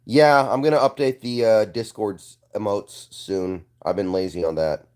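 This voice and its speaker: A young man hosting a casual live stream, congested and hoarse, answering viewer comments with an offhand manner and frequent throat clearing. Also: lowish voice, steady tone, measured pace, a little mellow.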